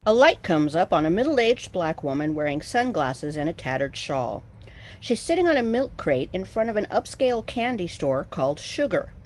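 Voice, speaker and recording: A woman's voice: on the low side, very animated, moderate pace. A woman reading scripted stage directions that set the scene for a play. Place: remote video call reading.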